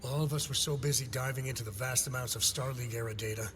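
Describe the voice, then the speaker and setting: An actor playing a composed, persuasive intelligence operative in a video game, explaining things with a dry edge. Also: male voice, mid-range voice, fairly even intonation, very fast, fairly bright tone, slight background noise, lightly acted. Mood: measured persuasion. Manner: explanatory, dryly sardonic. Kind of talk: dramatic video game acting.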